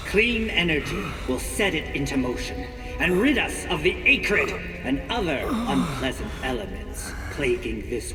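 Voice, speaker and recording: Grandiose leader in a reverberant hall. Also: male voice, a little high, recorded from a distance. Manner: oratorical, lofty, propagandistic. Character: grandiose corporate leader addressing colonists with lofty promises. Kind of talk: scripted video game acting, broadcast speech. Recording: echoey hall reverb, music behind, from afar.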